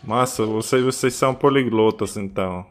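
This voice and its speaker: Host type: thirties man from russia, headset, laughs a lot, loud music under him. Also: fairly low pitch, normal speed. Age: 30s. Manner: playful, laughs often. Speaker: video chat host. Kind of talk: casual multilingual chat. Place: small room indoors. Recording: video call with loud music behind.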